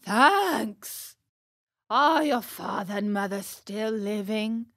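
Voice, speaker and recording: A woman voicing a huge bearded puppet master in a children's storybook, gruff and bullying yet soft-hearted, with loud, commanding delivery. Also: animated, takes it slowly, no room sound, studio quality, exaggerated voice. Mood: gruff demanding. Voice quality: hoarse, booming.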